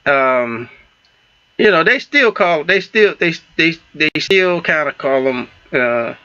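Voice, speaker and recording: Southern man with bright, dull-sounding audio. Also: on the high side, animated, clear, resonant tone. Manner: halting, many fillers and restarts, laughs easily. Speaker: talk show host. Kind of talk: casual radio talk show chat.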